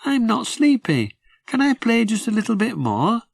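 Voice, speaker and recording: A man puts on a little boy rag doll for a storybook. The voice is high and swoops about, puzzled and pleading, always wheedling with questions. It sounds close and dry. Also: sing-song, moderate pace, vocal fry, slightly rough, no echo, full character voice. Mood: sleepy wheedling.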